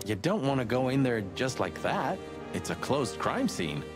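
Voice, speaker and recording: An actor playing a talkative, sardonic rooster cop sidekick in a film-noir adventure game. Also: male voice, medium pitch, very animated, normal speed, gravelly, music in the background. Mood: teasing.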